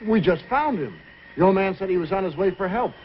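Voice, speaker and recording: An actor playing a cocky outlaw leader with a decent streak in an old-time radio adventure, commanding and tough-talking. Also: male voice, high-pitched, animated, a little fast. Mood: steady. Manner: commanding, dismissive tough talk. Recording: muffled, noisy vintage radio recording.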